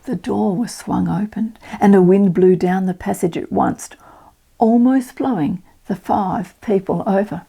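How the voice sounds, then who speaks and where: An older woman reading a children's storybook aloud as a storyteller, expressive and dramatic. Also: medium pitch, measured pace, vocal fry, breathy edge.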